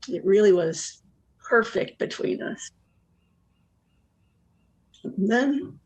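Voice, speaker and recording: Jilted woman for one-act drama, played by an actress: slow, confessional, world-weary, deadpan with a bitter edge. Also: mid-range voice, slightly rough, full character voice, low-quality recording. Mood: dreamy, amused, then hurt, earnest. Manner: candid, rueful, deadpan asides.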